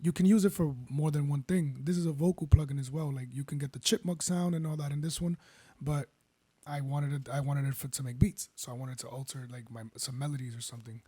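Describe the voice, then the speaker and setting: A man with a baritone voice, casually walking viewers through making a beat and rambling as he goes. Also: fairly quick, breathy edge. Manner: relaxed, rambling, self-correcting. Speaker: beat producer. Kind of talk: casual tutorial talk. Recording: faint music behind.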